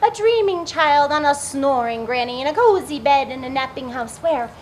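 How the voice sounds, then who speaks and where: A woman's voice: high voice, normal speed. A woman hosting story time, reading and chatting expressively to small children. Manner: expressive, hushed emphasis, addressing small children. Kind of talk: children's read-aloud and chat. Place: public library story-time room. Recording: noisy room recording.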